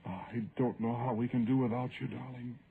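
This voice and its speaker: A man's voice: medium pitch, level tone, moderate pace, natural voice. An actor playing a guilt-ridden, grieving man who narrates his ghost story in a solemn, literary radio drama style. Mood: hushed, emotional. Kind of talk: vintage radio horror drama acting. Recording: noisy, narrow-band vintage radio recording.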